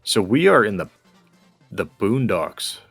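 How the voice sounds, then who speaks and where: A man's voice: fairly low pitch, big pitch swings, slow, gravelly, a bit bright, husky, no echo, faint music behind. A man chatting casually while playing a game, musing and explaining his thinking as he goes. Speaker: gamer co-hosting a gameplay video.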